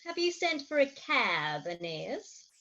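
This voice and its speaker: A woman plays a brisk Victorian wife and mother in a theatrical farce. Her delivery is firm and arch, with very lively intonation. The sound is a little dull and lo-fi. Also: a little high, heavily acted. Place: home, recorded remotely.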